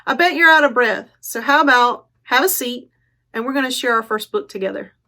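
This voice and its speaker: A woman's voice: a little high, expressive, a little fast, bright, forceful tone. A woman children's librarian presenting and reading aloud at storytime, speaking directly and simply to young children with encouraging invitations.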